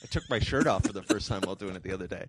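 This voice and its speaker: A man's voice: low voice, racing, noisy background. A young man on a podcast, talking casually in a hesitant, self-deprecating way. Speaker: podcast co-host. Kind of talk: casual chat.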